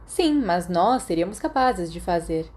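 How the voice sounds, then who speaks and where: A woman reading the part of a cheerful, boastful teenage boy wizard in an audiobook, with animated delivery. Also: fairly low pitch, normal speed, close to an everyday voice. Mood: confident. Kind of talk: audiobook character dialogue.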